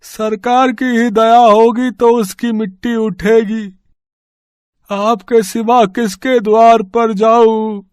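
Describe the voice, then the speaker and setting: A man playing a wheedling, callous old village labourer in an audio story, with drawn-out, plaintive rustic speech. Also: very high voice, level tone, clear, resonant tone, dry recording, studio quality. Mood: pleading, woeful. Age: older. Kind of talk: acted Hindi audio story dialogue.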